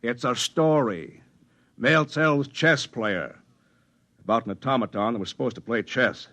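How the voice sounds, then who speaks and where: A man's voice: medium pitch, normal speed, bright, forceful tone, natural voice. An actor playing a smug, bullying fat man with a bossy, sardonic manner in a 1950s radio drama. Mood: brusque. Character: gruff, smug, bullying fat man secretly posing as computer.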